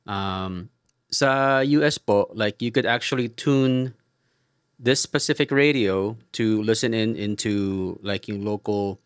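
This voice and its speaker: A middle-aged Filipino man giving a casual explanatory product talk, speaking politely and haltingly and slipping between English and Tagalog. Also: lowish voice, clean tone, no room sound, studio quality. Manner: hesitant, polite, switches between two languages mid-sentence. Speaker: presenter explaining radio gear.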